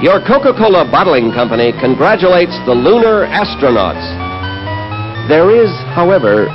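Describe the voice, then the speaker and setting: A man's voice: a little high, very animated, moderate pace. A man narrating a 1960s television advertisement. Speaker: commercial announcer. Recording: dull vintage TV ad audio. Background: loud music.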